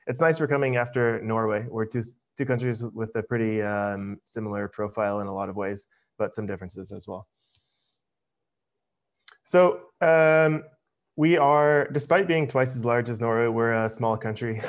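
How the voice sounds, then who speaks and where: A middle-aged American man giving a casual webinar presentation over a video call, relaxed and full of ums. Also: fairly low pitch, vocal fry. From United States. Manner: relaxed, many ums, friendly. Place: home office, video call. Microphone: headset.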